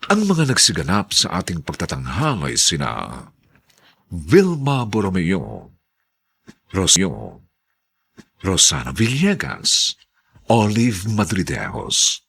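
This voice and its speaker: A man with a Filipino accent announcing a radio drama in a formal, theatrical, courteous and measured scripted style. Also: low-pitched, huge pitch swings, creaky, a bit bright, breathy edge.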